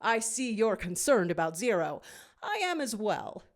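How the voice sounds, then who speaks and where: A woman's voice: mid-range voice, big pitch swings, unhurried. A woman voicing a smug male canine villain, wordy, pompous and condescending, in a storybook reading. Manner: pompous, formal wording.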